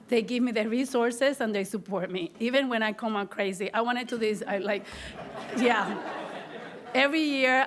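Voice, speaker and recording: This is a middle-aged woman with a strong Puerto Rican accent, speaking a little above the usual pitch. She gives a heartfelt acceptance speech as an honored teacher. She stumbles and restarts often, and her thanks stay earnest throughout. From Puerto Rico. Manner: earnest and grateful, with frequent restarts and fillers.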